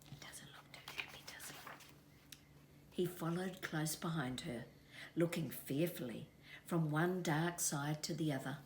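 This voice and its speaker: A woman with a New Zealand accent reading a children's story expressively, dramatic and hushed in places. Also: deep voice, measured pace, slight background noise. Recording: echoey room.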